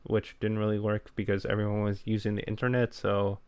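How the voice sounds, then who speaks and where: A man talks in a low, fairly flat voice with a smooth, clear tone. He sounds low-key and self-effacing, like a co-host in a relaxed podcast conversation. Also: fairly quick, no echo. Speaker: podcast co-host who makes gaming videos. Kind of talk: casual podcast chat.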